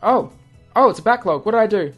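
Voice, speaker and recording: Casual visual novel playthrough host, mid-pitched, thinks aloud, easily amused, music in the background. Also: expressive, fast talker, breathy edge, lo-fi sound. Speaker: gamer, channel host. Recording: music under the voice.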